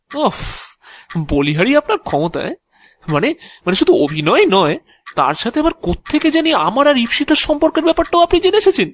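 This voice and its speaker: A man acting an excitable, chatty office clerk in an audio drama, gushing sarcastic flattery with stammered repetitions. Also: high voice, dry recording, full character voice. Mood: excited, teasing.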